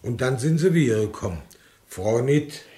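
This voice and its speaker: An earnest older village man played by a male actor remembers the war in a radio play. He speaks plain, colloquial southwestern German dialect at a medium male pitch, like a rustic eyewitness. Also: lively intonation, moderate pace, mellow, lightly acted. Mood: matter-of-fact. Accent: southwestern German regional dialect. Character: earnest older village war veteran recounting his past on camera. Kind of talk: rustic spoken eyewitness recollection.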